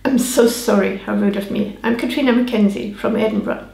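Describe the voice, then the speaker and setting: A woman reading aloud as a nervous young city lady who sounds flustered, in a creaky voice and an echoey room. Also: on the high side, fairly even intonation, fairly quick, darkish tone.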